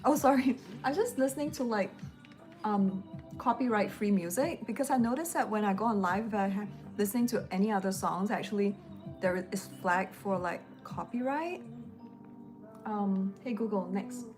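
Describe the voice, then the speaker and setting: Woman rambling on a home livestream, easy chatty vibe, mid pitched, lofi music playing behind her. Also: moderate pace. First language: English. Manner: relaxed, rambling chatter while packing and snacking. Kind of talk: casual live-stream chat. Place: home, indoors. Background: lo-fi background music.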